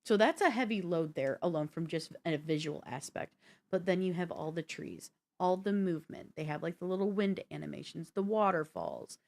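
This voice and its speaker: A woman with a slightly low voice co-hosts a gaming show. She chats casually and works through explanations in a rambling way, often stepping aside to add detail. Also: moderate pace, vocal fry, darkish tone. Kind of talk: casual explanatory chat about video games.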